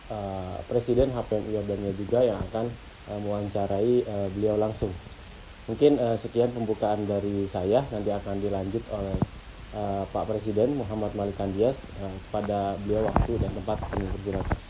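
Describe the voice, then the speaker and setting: A man's voice: on the low side, flat delivery, fairly quick, noisy background. A man opening an online talk as host, speaking formally with many hesitant fillers.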